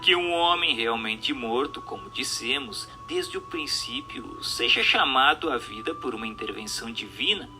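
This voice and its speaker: A man reading a formal book as scripted narration, in a measured, didactic and emphatic manner. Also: medium pitch, animated, pushed and bright. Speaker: narrator, audiobook reader. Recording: music bed under the voice. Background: music.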